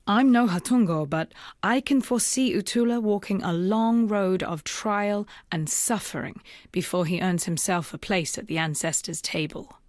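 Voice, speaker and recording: An actress voicing a grave, resolute young woman rebel in a fantasy video game, speaking in a measured, solemn storytelling way. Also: lowish voice, no echo, clean studio sound, lightly acted. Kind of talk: dramatic scripted video game dialogue.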